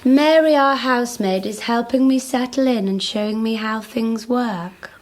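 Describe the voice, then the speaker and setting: A woman's voice: fairly high pitch, moderate pace, lightly acted. A woman playing a curious, playful British character with a wry manner in a naturalistic radio drama. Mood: reading aloud. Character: nosy, wry British woman rummaging through an estate. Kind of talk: naturalistic radio drama dialogue and reading aloud.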